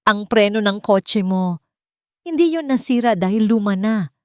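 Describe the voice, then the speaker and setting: A woman's voice: mid-range voice, lively intonation, unhurried, darkish tone, clean tone, no room sound, pitched above the performer's own voice, lightly acted. A woman voicing a villainous male lawyer in an audio story, agitated, threatening and gloating. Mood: bitter gloating. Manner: menacing, agitated.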